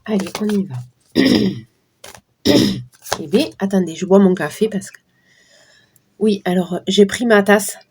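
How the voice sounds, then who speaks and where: A middle-aged woman with a hoarse morning voice, chatting casually to her viewers as she reads tarot cards. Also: mid-range voice, takes it slowly, long pauses, darkish tone, a little hiss or hum. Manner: chatty, talks to viewers while shuffling cards. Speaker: card reader. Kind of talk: casual card-reading livestream talk.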